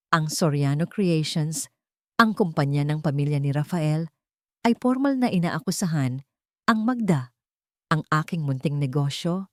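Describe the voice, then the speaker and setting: A woman narrates a scripted drama in the first person. Her voice sits a little low. The recording is dry, with very little room sound. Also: darkish tone, dry recording. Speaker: story narrator.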